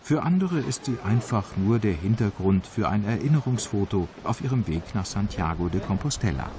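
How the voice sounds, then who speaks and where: A man reading scripted travel documentary narration in a calm, measured, informative way. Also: on the low side, background noise. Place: voice-over studio. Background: background music.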